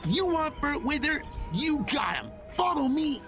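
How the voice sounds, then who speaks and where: A man's voice: very high-pitched, very animated, unhurried, fairly bright tone, soft background music, exaggerated voice. An actor playing an eager crackpot who reveres aliens in a video game, effusive and florid in his speech. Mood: elated, reverent. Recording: clearly noisy background.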